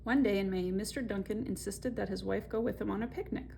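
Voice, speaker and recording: A woman hosting a children's story time, reading and chatting in an expressive, conversational way straight to young viewers. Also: medium pitch, fast talker, creaky, a little hiss or hum. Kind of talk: picture-book read-aloud with chatty asides.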